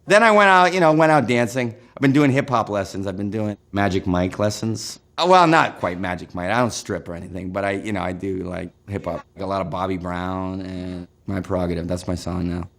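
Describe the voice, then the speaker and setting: Low-voiced man telling casual stories. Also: a little fast, few pauses. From Northern California. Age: 30s. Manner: relaxed storytelling with frequent fillers. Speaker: interviewee. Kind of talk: casual anecdotal interview.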